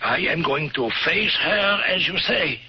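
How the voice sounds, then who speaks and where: Haunted ex-Nazi doctor. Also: male voice, a little high, lively intonation, a little slow. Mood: agitated. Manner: agitated, pleading. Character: older male ex-Nazi camp doctor, haunted and defensive. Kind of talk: 1970s radio drama acting. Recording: dull old radio broadcast with hiss.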